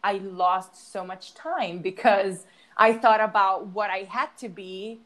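A young woman with an American accent talks in a mid-pitched voice. She hesitates often, restarting sentences and leaning on "like" and "um". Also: fairly even intonation, slow pace, fairly bright tone. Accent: American English. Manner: hesitant, many restarts and fillers. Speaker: actor and English teacher. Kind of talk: casual chat.

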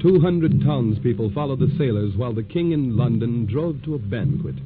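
Actor as solemn radio narrator over loud music. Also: male voice, mid-range voice, expressive, normal speed, close to an everyday voice. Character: solemn male storyteller narrator of a historical drama. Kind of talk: scripted 1940s radio drama narration. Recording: muffled vintage radio broadcast. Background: loud dramatic music.

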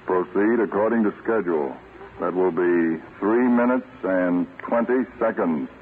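An actor playing a calm, authoritative American counterintelligence chief in a 1940s radio drama, measured and assured. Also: male voice, low-pitched. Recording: tinny, noisy old radio recording.

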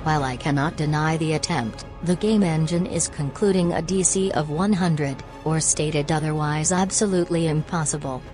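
Woman as disdainful AI game master, low voice, deadpan, formal, precise, cartoon comedy, music behind. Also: normal speed, hardly any pauses, natural voice. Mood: dry, resigned.

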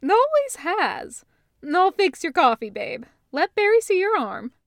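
A woman's voice: very high voice, very animated, measured pace, no echo, studio quality, pitched above the performer's own voice, heavily acted. A woman voicing a doting, upbeat sister in an audiobook, affectionate and emphatic. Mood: beaming, affectionate. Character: doting, upbeat sister fussing over her brother. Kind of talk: audiobook character dialogue.

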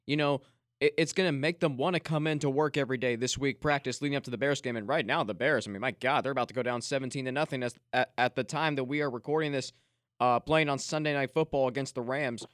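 A man hosting a sports podcast from a studio, recapping a football game with emphatic excitement. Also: mid-range voice, fast talker, no room sound. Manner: excited, emphatic, addresses co-host by name. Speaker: podcast host. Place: radio studio.

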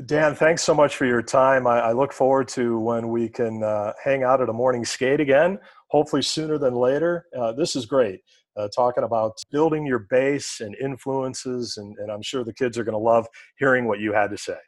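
A man's voice: mid-range voice, moderate pace, no room sound. American man hosting, dry close sound. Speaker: podcast host and sports broadcaster. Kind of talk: conversational interviewing.

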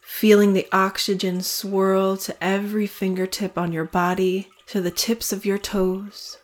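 A woman leading a guided meditation, speaking in a soothing manner with long pauses between phrases. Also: mid-range voice, flat delivery. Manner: slow, soothing, evenly paced with long pauses.

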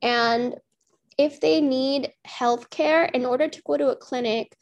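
A young woman from Pakistan speaks as an interview guest. Her voice sits a little high, and she talks earnestly, pausing often to gather her thoughts. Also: fairly even intonation. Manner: earnest, many "um" pauses. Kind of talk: casual interview answers.

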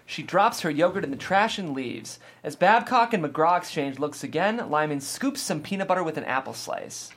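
Mid-pitched narrator reading screenplay directions. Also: lively intonation, moderate pace, hardly any pauses, a bit bright, breathy edge.

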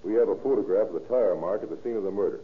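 An actor with an American accent playing a terse, probing police detective in a 1930s radio crime drama. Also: male voice, on the low side, racing, natural voice. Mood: probing, firm. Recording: tinny band-limited 1930s radio recording.

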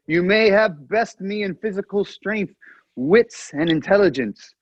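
A man's voice: high voice, lively intonation, measured pace, slightly rough, no room sound, lo-fi sound, exaggerated voice. Man playing a pompous, snooty young royal with a Polish accent. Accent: put-on Polish. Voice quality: snooty, fussy, grandiose.

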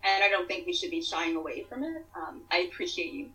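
Bright, giggly woman on a distant mic. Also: lowish voice, animated, brisk, faint music behind. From Canada. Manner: giggly, chatty, filler-heavy. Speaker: podcast guest. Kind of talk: casual remote podcast chat.

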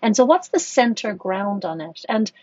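A woman's voice: mid-range voice, sing-song, moderate pace, slightly rough, no room sound, dull audio. A woman from Ireland, a corporate executive talking thoughtfully as a podcast guest, with many restarts. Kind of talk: conversational podcast interview.

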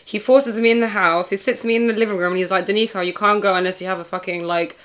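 A woman with a husky voice hosting a casual podcast chat, teasing and laughing. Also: medium pitch, fairly even intonation, fast, a bit bright. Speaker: podcast host.

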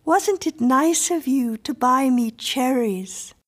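A woman's voice: high voice, animated, slow, voice pushed up, full character voice. A woman voicing an eerie, self-assured little girl in an audiobook, sweetly imperious and precociously polite. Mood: coolly insistent. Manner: composed, precociously polite, presumptuous. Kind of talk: audiobook character acting.

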